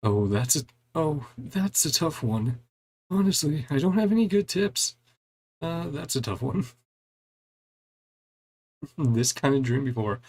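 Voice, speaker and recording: A man's voice: fairly high pitch, sing-song, unhurried, long pauses, a little mellow. A man giving casual gameplay commentary, reading game dialogue aloud and adding wry, chuckling reactions in a laid-back way. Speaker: gaming streamer doing a playthrough.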